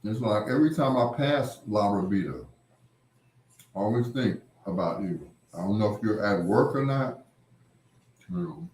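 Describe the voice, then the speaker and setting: A man chatting casually with live viewers as a livestream host, rambling and repeating phrases, with drawn-out greetings. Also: fairly low pitch, lively intonation, a little mellow. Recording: roomy, echoing sound.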